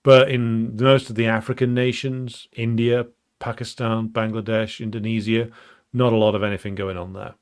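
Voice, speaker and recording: A man hosting a live stream, chatting casually and reading out viewer comments, halting with many ums and uhs. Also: fairly low pitch, little pitch movement, clear, resonant tone. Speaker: livestream host.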